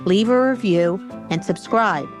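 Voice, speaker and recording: A woman's voice: mid-range voice, unhurried. A woman hosting a podcast, giving a friendly, polite sign-off. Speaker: podcast host. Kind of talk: podcast outro. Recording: guitar music under voice.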